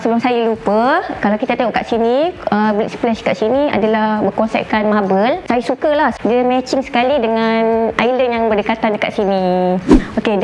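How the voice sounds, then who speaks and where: A middle-aged woman with a Malaysian accent giving a casual, chatty tour of her house, often pausing to search for words. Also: fairly high pitch, a little mellow. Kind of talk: casual guided home tour to camera. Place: indoors, rooms of a furnished house. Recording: slightly dull sound with faint background noise.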